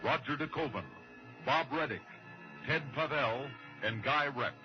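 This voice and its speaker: A man's voice: mid-range voice, measured pace, natural voice. An American man announcing credits in 1960s radio style. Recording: dull old radio recording, loud music behind.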